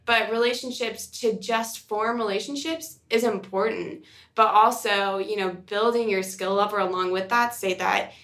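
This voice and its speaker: A woman with a bright, forward voice at an average female pitch co-hosts a podcast. Her talk is conversational, earnest and emphatic. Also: fairly even intonation, normal speed. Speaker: podcast co-host.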